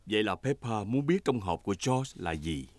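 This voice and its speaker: A man narrating a children's cartoon from a script in an expressive storytelling way. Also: fairly low pitch, normal speed, no echo. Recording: music behind the voice.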